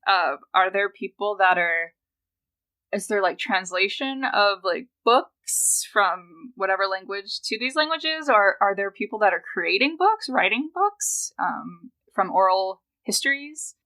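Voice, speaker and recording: A woman's voice: medium pitch, animated, a little slow, pushed and bright. A woman co-hosting a podcast, asking curious follow-up questions with small restarts. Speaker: podcast co-host. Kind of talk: conversational interview questions.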